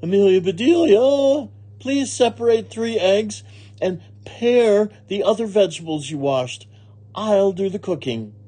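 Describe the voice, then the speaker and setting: A bossy storybook lady of the house acted out by a man, excitable and easily exasperated. The voice sits very high, swooping dramatically as it gives its orders. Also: measured pace, breathy edge, full character voice. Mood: brisk, bossy. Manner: commanding, dramatic exclamations. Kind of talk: children's storybook character reading.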